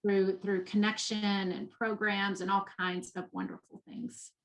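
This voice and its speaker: A woman's voice: mid-range voice, flat delivery, a little slow, gravelly, rough recording. A woman with a Texas accent, a guest in an interview, speaking with passion and repeating words for emphasis. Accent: Texan. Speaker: guest, nonprofit education executive.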